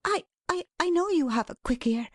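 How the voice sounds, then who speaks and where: A woman voicing an excitable, affectionate, flustered young wife in a storybook reading, breathless and emphatic. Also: very high voice, normal speed, dry recording, clean studio sound, voice pushed up. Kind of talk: dramatised classic storybook reading.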